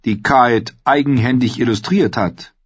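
Man playing a courteous knight, pitch raised. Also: a little slow, slightly rough, dry recording, dull audio. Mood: polite reproach. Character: cautiously reproachful noble knight, adult man. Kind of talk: fantasy audiobook character acting.

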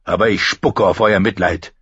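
A man's voice: low-pitched, steady tone, moderate pace, a bit bright, slightly rough, dry recording, muffled recording. A man voicing a proud, scornful old knight in an audiobook. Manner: contemptuous, stern.

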